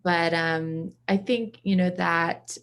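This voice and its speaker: Female interview guest from the East Coast, reflective and casual, lowish pitch, plenty of vocal fry. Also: fairly even intonation, slow pace, a bit bright. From from the US East Coast, around Boston.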